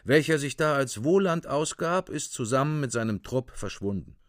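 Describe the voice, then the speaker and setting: A matter-of-fact male chronicler voiced by an actor reads out findings in a radio drama. His voice is medium in pitch and clipped, in a dry studio sound. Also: expressive, moderate pace, no room sound, natural voice. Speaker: narrator. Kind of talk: scripted report-like narration for radio play.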